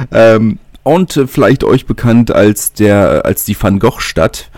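A man hosts his own podcast alone, talking in a loose, conversational way and often pausing with fillers. His voice sits a little low. The sound is dry and close, with no room around it. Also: expressive, a little mellow, dry recording. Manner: casual, thinking aloud with many fillers. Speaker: podcast host. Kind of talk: solo podcast monologue.

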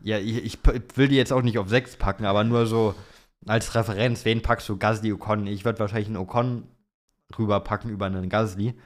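Low-voiced young man chatting casually. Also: steady tone, fairly quick. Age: young adult. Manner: informal youth slang. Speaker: podcast host. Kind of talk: casual chat about motorsport, answering listener questions.

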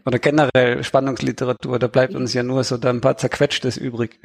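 Casual male author for panel chat: creaky voice, middle pitch, halting delivery, dry wit. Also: flat delivery. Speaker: thriller author and podcast guest.

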